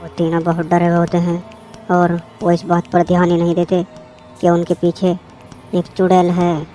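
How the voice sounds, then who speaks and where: A storyteller narrates a scripted horror tale in a mellow voice. The pitch moves very little. Faint music plays underneath. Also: monotone, normal speed.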